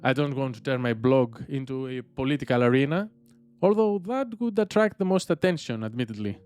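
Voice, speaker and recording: A man's voice: medium pitch, lively intonation, a little mellow, clean tone, faint music behind. Greek-accented man hosting a solo podcast. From Greece. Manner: reflective, with frequent filler pauses. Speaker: podcast host.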